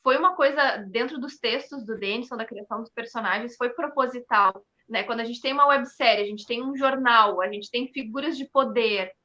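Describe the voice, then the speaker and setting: A woman talking reflectively as an interview guest, haltingly, thinking aloud with frequent checking tags. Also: fairly high pitch, sharp tone, dull audio. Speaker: theatre company member, interview guest.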